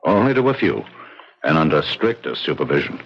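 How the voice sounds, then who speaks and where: An actor playing a self-assured, persuasive psychiatrist in a radio drama, measured and clinical as he lectures. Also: extremely low pitch, very animated, exaggerated voice. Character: smooth-talking, self-assured male psychiatrist, coolly persuasive. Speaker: doctor. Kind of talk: 1970s radio drama acting. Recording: husky-sounding, muffled old radio recording.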